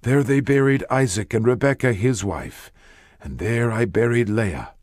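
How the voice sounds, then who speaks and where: A male narrator reads scripture in a solemn, declamatory way. His pitch sits in a middle range but moves widely from phrase to phrase. The delivery is measured and dramatic, and the sound is dry with no room around it. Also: expressive, darkish tone, no room sound. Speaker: audiobook narrator.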